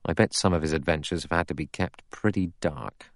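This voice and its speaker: A man's voice: sits low, brisk, no echo. A male reader acts out a former police inspector in an audiobook. He is suspicious and plain-spoken, grumbling in blunt colloquial phrases, the sound close and dry. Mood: suspicious, probing.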